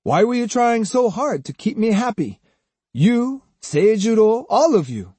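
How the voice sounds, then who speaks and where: A man acts out an arrogant young swordsman in a dramatised story. The voice sits high and swings widely, with a husky grain. He is pompous and sneering, leaning hard on his points. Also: sing-song, unhurried, mellow, dry recording, heavily acted. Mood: blithe, needling. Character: arrogant, grandly boastful, snide young male swordsman.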